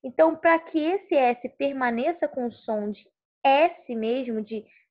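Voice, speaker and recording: A woman teaching a lesson on letters and sounds, explaining step by step and often checking with "ok?". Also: sits high. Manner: slow, explanatory, checks understanding with "ok?". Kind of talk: recorded classroom lesson. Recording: tinny phone-like sound.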